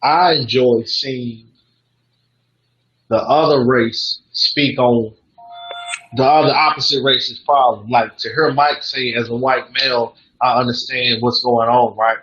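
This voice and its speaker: A man speaks reflectively on a panel, pausing and restarting as he thinks. His audio sounds slightly dull and muffled. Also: mid-range voice, steady tone, a bit bright, a little hiss or hum. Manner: reflective, halting. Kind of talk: roundtable discussion.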